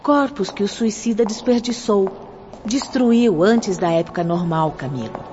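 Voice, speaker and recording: An actress playing a gentle but authoritative female spirit guide in a radio drama, formal and courteous as she instructs. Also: mid-range voice, a little slow, lightly acted. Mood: courteous, instructive. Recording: muffled, reverberant hall, noisy radio-drama recording.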